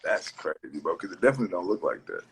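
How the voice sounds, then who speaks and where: A man hosting an interview, asking questions in a quick, joking, teasing way. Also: low voice, big pitch swings. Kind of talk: casual interview chat.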